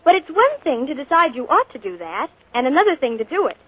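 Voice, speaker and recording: A woman's voice: sits high, very animated, fast. A woman reading a scripted radio commercial, chirpy and persuasive, on a tinny old broadcast. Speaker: commercial spokeswoman.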